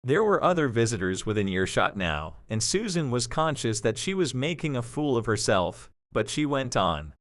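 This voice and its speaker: A man narrating a scripted story for an audio drama in a measured, expressive storytelling manner. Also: mid-range voice, no room sound, studio quality.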